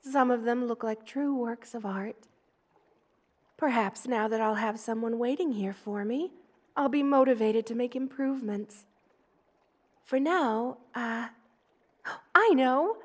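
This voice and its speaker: A doting monster mother, the queen of spider folk, played by a woman, speaks in a high voice at a very slow, unhurried pace. She is tender and cooing, soothing the listener in the intimate style of an ASMR roleplay. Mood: playful, doting. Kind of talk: ASMR roleplay, personal attention.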